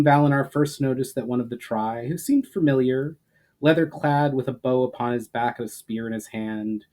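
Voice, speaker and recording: A man with a mid-pitched voice reads fantasy fiction aloud. His narration is dramatic, starting phrases with emphasis and letting their endings fall away softly. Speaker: author reading his fantasy story.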